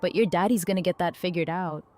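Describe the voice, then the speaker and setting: A woman's voice: lowish voice, fast, a little mellow, close to an everyday voice. A woman playing a casual, friendly family friend who talks playfully with children in an audio drama. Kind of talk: fan audio drama acting.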